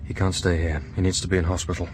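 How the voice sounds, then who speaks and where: Deep-voiced, earnest clergyman acted by a man. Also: little pitch movement, fast, a little hiss or hum, natural voice. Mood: concerned. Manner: earnest, gentle but firm. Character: compassionate, earnest English clergyman. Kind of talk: radio drama acting.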